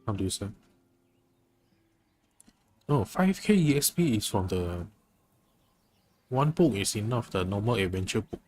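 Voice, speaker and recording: A man's voice: lowish voice, a little mellow. A man livestreaming a game, chatting casually with viewers and thinking aloud in a hesitant way. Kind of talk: casual chat with viewers during gameplay.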